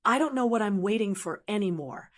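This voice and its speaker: A woman voicing an anxious young woman in an audiobook, speaking quietly and defensively. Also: medium pitch, animated, a little fast, natural voice. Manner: quiet, strained.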